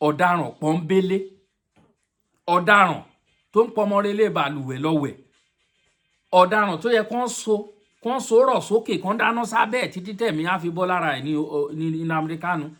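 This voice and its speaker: A man's voice: sits high, a bit bright. A man with a Yoruba Nigerian accent delivering a fiery, mocking political commentary, switching between Yoruba and English. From southwest Nigeria. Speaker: online commentator.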